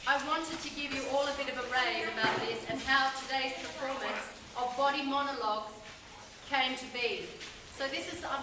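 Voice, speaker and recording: Woman announcer in a very echoey hall. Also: a little high. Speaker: festival presenter. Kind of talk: reading an announcement to a live audience. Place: theatre stage before a seated audience. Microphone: far camera in the audience. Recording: echoey, noisy hall from far off.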